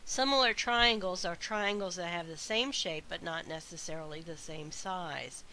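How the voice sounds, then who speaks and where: A woman math instructor recording a lecture while congested from a sinus infection, her voice weak and stuffy, explaining slowly with frequent uhs and ums. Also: on the low side, bright, forceful tone, rough recording. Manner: slow, methodical explaining with frequent uh and um. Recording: faint hiss.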